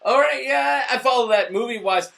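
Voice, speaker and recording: A man with a high, forceful, bright voice talks through a casual movie review while drunk. He swears freely and rambles, often losing the thread of the conversation. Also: normal speed, vocal fry. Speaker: movie review show host. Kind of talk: casual, profane review banter.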